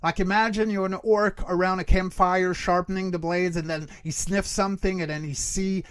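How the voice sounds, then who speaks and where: Higher-pitched man, explaining casually, dry sound. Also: fairly even intonation, moderate pace, fairly bright tone, clean tone, no echo. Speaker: guest expert, creature voice actor and workshop teacher. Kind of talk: casual explanatory interview answers.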